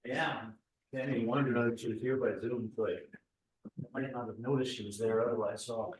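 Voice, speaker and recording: A man of about sixty speaks at a public meeting, his voice set well back from the microphone and washed in heavy room echo. He talks in a halting, self-deprecating way, full of fillers and restarts, as the town treasurer reporting in. His pitch sits at an ordinary middle level for a man. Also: steady tone. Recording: very echoey room, voice far from mic.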